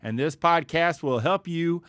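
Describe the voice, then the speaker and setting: A man's voice: on the high side, measured pace, a bit bright, smooth, dry recording, studio quality. A man hosting a motivational podcast, with an enthusiastic, punchy delivery. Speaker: podcast host.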